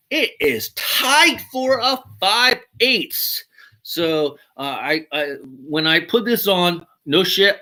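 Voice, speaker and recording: Hyped-up guy showing off his hat collection, bright voice, a bit high, swears casually. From Mexican heritage. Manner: excitable, swears casually, repeats "freaking awesome". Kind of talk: casual unboxing chat.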